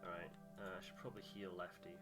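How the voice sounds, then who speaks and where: A man's voice: low-pitched, little pitch movement, fast, over loud music. A man giving casual, jokey commentary on a game he is playing. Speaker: let's player reading game dialogue aloud.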